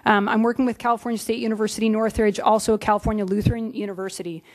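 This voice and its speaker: Woman, public comment, earnest, frequent pauses, podium microphone, meeting hall. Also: medium pitch, fairly even intonation.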